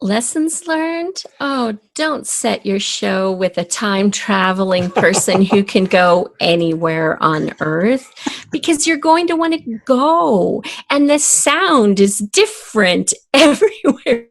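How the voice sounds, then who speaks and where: A woman's voice: medium pitch, animated, takes it slowly. A woman speaking as a guest writer in a podcast interview, giving emphatic, encouraging advice in a conversational way.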